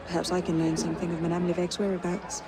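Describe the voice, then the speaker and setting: A woman's voice: fairly low pitch, flat delivery, racing, a crowd in the background, noisy background, natural voice. A woman playing a resolute, wistful young noblewoman in a video game cutscene. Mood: determined. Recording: music behind the voice.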